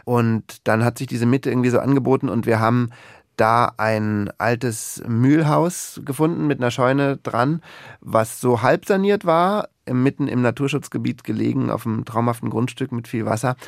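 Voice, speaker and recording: A man's voice: medium pitch, normal speed, creaky, no room sound, clean studio sound. A man from Berlin, a pianist guesting on a radio interview, talking casually and thoughtfully with plenty of fillers. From Berlin, Germany. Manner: thoughtful, rambling with many fillers.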